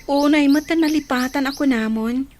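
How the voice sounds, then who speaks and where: A woman's voice: sits high, moderate pace, a little mellow, a little hiss or hum, lightly acted. A woman playing a familiar, frank, teasing visitor in a radio drama, with an Ilocano accent. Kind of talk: Filipino radio drama acting.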